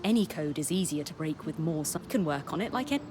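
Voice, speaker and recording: An actress playing a formal, scholarly codebreaker in a video game, speaking in a precise, measured, dry manner. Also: female voice, deep voice, animated. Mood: intent, explanatory. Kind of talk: video game dialogue acting. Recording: voice under clear background music.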